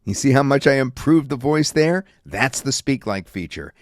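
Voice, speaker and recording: A man reviewing and demonstrating software in a casual, rambling way with many fillers. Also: mid-range voice, lively intonation, normal speed, no echo, clean studio sound. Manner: casual, filler-heavy talk. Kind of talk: screen-recorded software demo.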